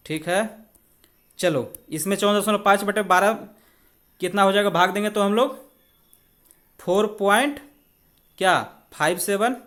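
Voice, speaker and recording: A man with an Indian accent and a notably high voice teaches like an exam coach. He talks through each step conversationally. He keeps checking in with short rhetorical questions. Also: a bit bright. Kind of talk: spoken maths and chemistry tutorial.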